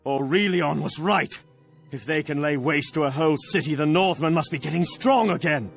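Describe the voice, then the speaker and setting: An actor playing a stern, devout army captain in a fantasy video game, grave and commanding. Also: male voice, a little high, moderate pace, vocal fry. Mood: wary resolve. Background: faint game music.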